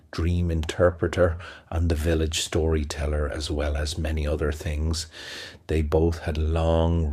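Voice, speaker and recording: Man, very low voice, monotone, dry recording, measured fairy-tale narration. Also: breathy edge, dry recording. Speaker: storyteller.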